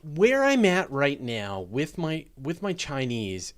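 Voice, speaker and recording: A man hosting a live question-and-answer stream in casual, unscripted speech, haltingly restarting his phrases as he rambles along. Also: fairly high pitch, wildly swooping pitch. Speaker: host of a live astronomy Q&A stream. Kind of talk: casual, unscripted livestream chat.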